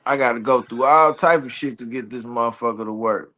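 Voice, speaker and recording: A man's voice: mid-range voice, a little fast, heavy background noise. A man co-hosting a casual livestream, talking bluntly and tough.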